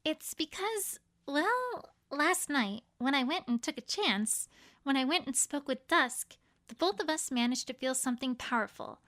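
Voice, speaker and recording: A woman's voice: sits high, animated, a little slow, a bit bright. A woman voicing an earnest, bookish young female unicorn in a cartoon-pony story, explaining things carefully and a little formally. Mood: earnest, bashful.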